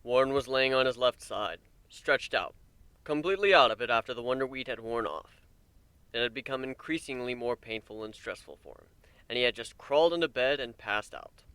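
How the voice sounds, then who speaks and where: A man's voice: medium pitch, fairly quick, bright, forceful tone, clear, resonant tone, no room sound. A man narrating a story as a dramatic reading.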